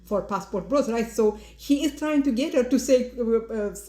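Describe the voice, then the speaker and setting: A woman delivers a scornful, opinionated commentary, often stopping to restart her sentences. Her voice is soft and mellow. The room around her rings with a noticeable echo. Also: a little high, normal speed. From Ethiopia. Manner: critical and mocking, many fillers and restarts. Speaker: podcast host. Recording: roomy echo.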